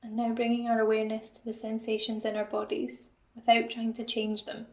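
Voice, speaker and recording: A woman guiding a mindfulness body scan in a calm, gentle, instructional manner, like a psychologist leading an exercise. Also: a little high, fairly quick, echoey room. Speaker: assistant psychologist guiding a meditation.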